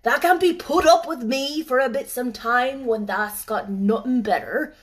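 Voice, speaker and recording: A sarcastic old man of the Yorkshire moors, blunt and booming in a broad dialect, as a woman reading the story puts him on. His words come slowly and heavily. The voice sits fairly high. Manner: blunt, loud, drawling dialect speech. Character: gruff old male Yorkshire gardener, sarcastic.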